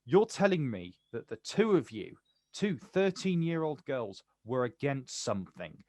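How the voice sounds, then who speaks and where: An actor playing a bitter, protective older brother, confrontational and incredulous, in a naturalistic stage drama. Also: male voice, mid-range voice, sing-song, unhurried, a little mellow, no echo. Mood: incredulous, accusing. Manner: confrontational, incredulous, clipped questions.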